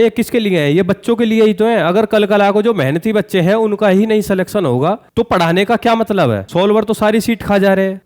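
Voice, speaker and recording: Guy doing youtube exam news, really high voice, earnest and pointed, keeps asking the viewer questions. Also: a little mellow. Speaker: exam-news YouTuber addressing job aspirants. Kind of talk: direct-to-viewer news commentary with rhetorical questions.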